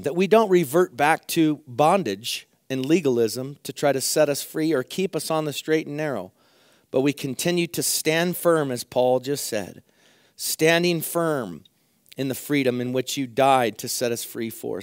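A middle-aged man preaching a sermon from a church pulpit, his voice hoarse, earnestly repeating phrases for emphasis. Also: on the high side, dry recording, studio quality. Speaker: pastor. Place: church sanctuary at a pulpit, indoors.

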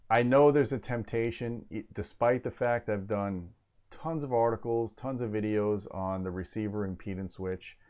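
A man in his forties hosts an informal technical explainer. His voice sits somewhat low. He is plain-spoken and opinionated, stressing his points as he talks into a clip-on mic in a small home office. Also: a little mellow, clear, resonant tone, dull audio. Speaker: host of an audio gear review channel.

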